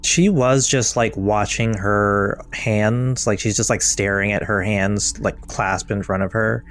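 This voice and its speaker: A man's voice: fairly low pitch, fairly even intonation, measured pace, a bit bright. A man narrating as game master, casual, with many filler words.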